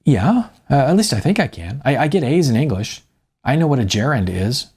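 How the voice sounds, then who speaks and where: Man voicing a nervous, halting college girl, lifted pitch. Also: big pitch swings, darkish tone, breathy edge. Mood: shy. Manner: hesitant, halting, stammering. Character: nervous young college freshman woman, shy and eager.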